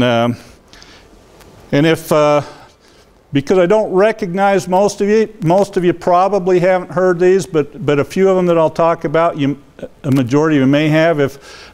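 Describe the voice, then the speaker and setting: Older man, guest speaker, loud voice, rambling, many fillers, lapel mic, library meeting room. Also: a little high, clean tone. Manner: says he has a loud voice. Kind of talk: informal public lecture. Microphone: lapel mic, walks around the room.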